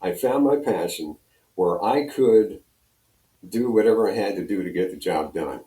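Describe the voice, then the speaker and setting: An older American man with a low, mellow voice reminisces as a guest in a casual interview. He wanders through his anecdotes, and the sound is roomy. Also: animated, moderate pace. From Pennsylvania, United States. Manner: rambling, anecdotal storytelling. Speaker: guest, retired radio personality. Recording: roomy echo, distant from mic.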